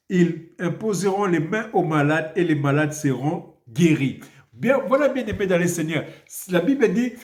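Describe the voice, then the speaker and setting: Fervent preacher with a cold. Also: male voice, high voice, darkish tone. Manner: emphatic preaching, rhetorical questions. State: has a cold. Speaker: priest. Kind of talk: video sermon with prayer and Bible reading. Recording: echoey room.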